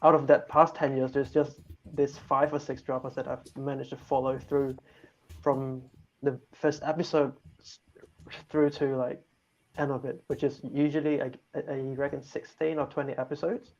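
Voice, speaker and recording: A man's voice: medium pitch, level tone, normal speed. A man from Malaysia, talking hesitantly as a guest, thinking aloud with many restarts. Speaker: guest on talk show. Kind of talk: casual chat. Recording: dull lo-fi mic.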